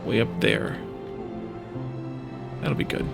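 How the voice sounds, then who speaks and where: A man giving casual gameplay commentary, thinking aloud in a musing, tentative way as he hosts a let's play. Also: takes it slowly. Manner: musing, tentative, talking to himself. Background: music behind the voice.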